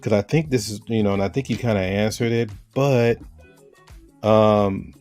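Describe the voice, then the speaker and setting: Brief: American man, very low voice, easygoing interviewer who wanders as he thinks, faint music behind. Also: moderate pace. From United States. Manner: relaxed, rambling with fillers and restarts. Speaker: host. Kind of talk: casual interview chat.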